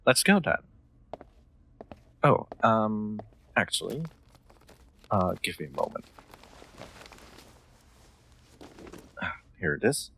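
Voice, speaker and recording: A man playing a gentle, awkward lord in an audio roleplay, speaking politely and formally with frequent hesitations. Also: fairly low pitch, big pitch swings, unhurried, slight background noise, heavily acted, rough recording. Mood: kindly insistent. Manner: hesitant, polite, formal wording with stammered ums. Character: gentle, awkward young lord, courteous automaton owner. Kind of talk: scripted audio roleplay, intimate one-sided dialogue.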